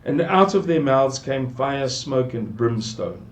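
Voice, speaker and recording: An older South African man teaches from scripture at a very slow pace. His voice sits in a middling register, and he muses aloud, circling back and repeating words for emphasis. The sound has a roomy echo. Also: medium pitch, very animated. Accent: South African English. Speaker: Bible teacher. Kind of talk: unhurried scripture commentary. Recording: echoey room.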